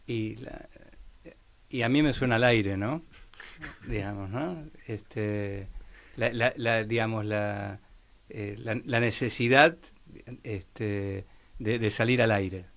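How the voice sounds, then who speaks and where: A hesitant Argentine man taking part in a casual radio conversation, his voice gravelly and full of vocal fry. He trips over fillers and restarts as he gathers his thoughts. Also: lowish voice, takes it slowly, long pauses, fairly bright tone. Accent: Argentine Spanish. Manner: hesitant, lots of verbal fillers. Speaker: radio show participant.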